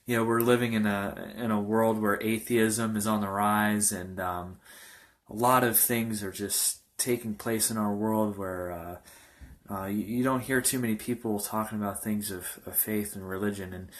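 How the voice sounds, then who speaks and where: A man in his early twenties, a pastor, telling his personal testimony in a halting, earnest way with many fillers and restarts. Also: fairly low pitch, fairly even intonation. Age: young adult. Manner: earnest, informal.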